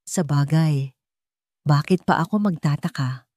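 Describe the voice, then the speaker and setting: A woman's voice: sits low, measured pace, a little mellow, no room sound, clean studio sound. A woman voicing a bitter, wounded husband who accuses and commands in a mocking way, in a dramatic audio story. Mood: self-mocking.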